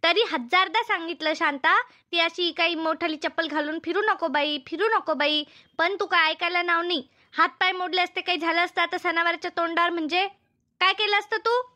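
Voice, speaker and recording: Woman voicing a very high, piercing, nagging cartoon mother. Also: moderate pace, bright, forceful tone. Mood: scolding. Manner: nagging, repetitive, fretful. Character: Shanta's fussing, scolding older village mother. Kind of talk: comedy cartoon dubbing.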